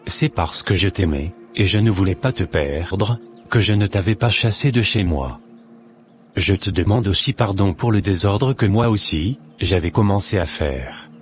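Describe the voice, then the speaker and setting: Casting: male actor as devout, forgiving cartoon husband. Low-pitched, earnest, measured delivery, light music underneath. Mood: grateful, contrite.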